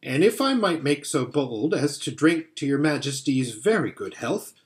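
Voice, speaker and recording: A man's voice: on the high side, very animated, normal speed. A man voicing a timid, elderly fox in a storybook, humble and deferential in manner. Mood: nervous deference. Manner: deferential, stammering.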